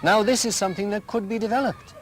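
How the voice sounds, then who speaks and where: A middle-aged Welsh headmaster answering interview questions in a measured, explanatory way, giving plenty of examples. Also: male voice, high voice. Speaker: school headmaster. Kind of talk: archive community TV interview answers.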